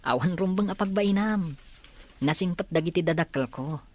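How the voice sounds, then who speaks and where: Woman playing a low-voiced, kind young male student. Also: normal speed, lightly acted. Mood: reassuring. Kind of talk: Ilocano radio drama acting. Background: faint background music.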